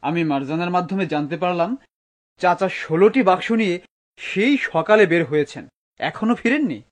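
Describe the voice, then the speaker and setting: A man's voice: sits high, expressive, normal speed. A man playing a dutiful, devout son in a cartoon. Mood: earnest. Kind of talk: dubbed cartoon acting.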